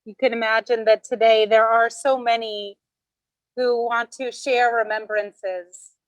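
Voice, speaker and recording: A woman officiating at a funeral, formally introducing speakers with gentle, halting pauses. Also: a little high, little pitch movement, no echo, rough recording.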